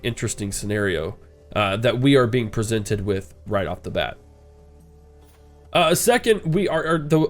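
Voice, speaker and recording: A congested man with a stuffed-up nose, casually commenting as he plays a strategy game and thinking aloud. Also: medium pitch. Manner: rambling, thinking aloud, frequent uh fillers. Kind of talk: casual let's-play commentary.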